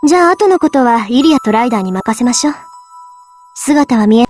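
A woman's voice: fairly high pitch, lively intonation, brisk, darkish tone, lightly acted. A woman playing a level-headed, bossy but caring young woman in an anime visual novel, frank, composed and assertive. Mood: composed, firm.

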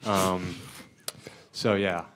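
A young man, a college basketball player, giving brief, low-key answers with some hesitation at a postgame press conference into a table mic. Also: little pitch movement, very slow, fairly bright tone, slight background noise. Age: young adult. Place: press conference room with sponsor backdrop. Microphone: table mic in front.

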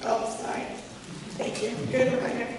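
A woman's voice: a little high, completely flat delivery, moderate pace. A woman in her twenties with an American accent gives a prepared talk, her voice scratchy from a cold. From Kansas, United States. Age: 20s. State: recovering from a cold. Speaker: guest speaker, scholarship recipient. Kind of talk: prepared talk to a club audience. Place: large hard-walled meeting room. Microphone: handheld mic, heard through room from camera. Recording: echoey hall PA from far off, noisy.

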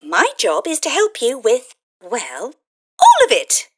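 A tiny electronic fairy helper speaks in a high, wildly animated voice. She is eager and bubbly, gushing encouragement like a saleswoman in an audio play. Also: slow pace, breathy edge, exaggerated voice. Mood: cheerful, helpful. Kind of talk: scripted audio play acting.

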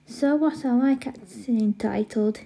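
Casting: woman as entitled young sister, high voice, mellow tone, smug and unapologetically defiant. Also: measured pace, vocal fry, low-quality recording. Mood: smug, scheming. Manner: smug, defiant, self-satisfied.

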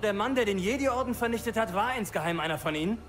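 High-voiced, conflicted young soldier. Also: male voice, lively intonation, fast talker, fairly bright tone, faint music behind. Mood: sceptical. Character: conflicted young male imperial soldier, sceptical and earnest. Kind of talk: German video game voice acting.

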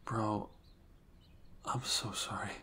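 A young man speaking softly and hesitantly in an improvised ASMR roleplay, often dropping to a whisper. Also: fairly low pitch, slightly rough.

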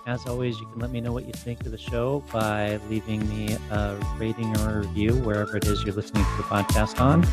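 A man's voice: lowish voice, little pitch movement, a little fast, few pauses, over loud music. A man hosting a podcast in a casual, informal, self-deprecating way, chatting as he interviews. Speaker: podcast host.

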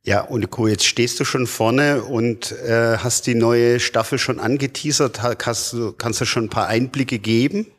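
A man's voice: medium pitch, unhurried, hardly any pauses, breathy edge. A man casually asking a question from the audience in an auditorium. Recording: long hall reverb.

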